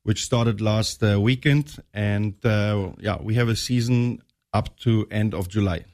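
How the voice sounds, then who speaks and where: A man's voice: fairly low pitch, a little mellow. Polite German-accented man. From northern Germany. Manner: polite, measured, with hesitant fillers. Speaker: soccer coach guest. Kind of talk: radio interview answers.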